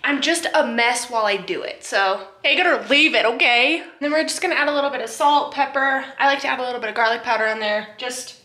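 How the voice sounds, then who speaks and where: A woman's voice: a little high, quick pace, few pauses, bright, forceful tone, reverberant. A woman in her twenties filming a casual cooking vlog in her small home kitchen, chatty, flustered and self-deprecating, picked up by a camera mic across the room. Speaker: cooking vlogger.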